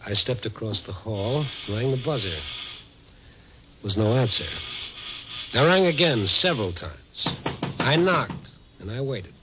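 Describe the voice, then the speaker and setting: Actor as a wry, lively American hard-boiled reporter. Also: male voice, mid-range voice, unhurried, long pauses, lightly acted. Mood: tense storytelling. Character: weary, wry, tough male night-beat newspaper reporter. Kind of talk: 1950s radio drama acting and narration. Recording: dull, noisy old radio recording.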